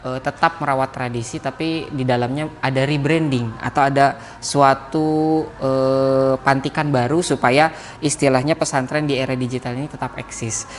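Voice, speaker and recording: A man's voice: mid-range voice, steady tone, normal speed, slight background noise. Hesitant man hosting a podcast. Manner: hesitant, many "eh" fillers, laughs often. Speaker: podcast host.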